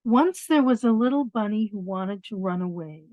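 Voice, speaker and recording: A woman reading picture books aloud to young children, expressive, pausing to explain things in simple words. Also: medium pitch. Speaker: children's storyteller. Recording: dull, roomy lo-fi sound.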